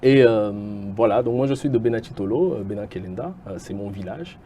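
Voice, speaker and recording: Un homme originaire du Kasaï, au Congo, invité d'une interview, qui répond avec beaucoup d'hésitations. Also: male voice, fairly low pitch, expressive, fairly quick, warm tone, a little hiss or hum. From Kasai, Congo. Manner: hesitant, many filler sounds. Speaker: guest, entrepreneur. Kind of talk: interview answers.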